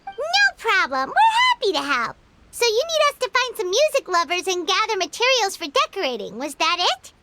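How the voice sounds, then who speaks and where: A woman voicing a small, chatty, cheeky fairy companion in a game, squeaky and childlike, speaking of herself in the third person. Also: huge pitch swings, normal speed, pushed and bright, no echo, heavily acted. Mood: chatty, curious. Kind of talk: animated game dialogue.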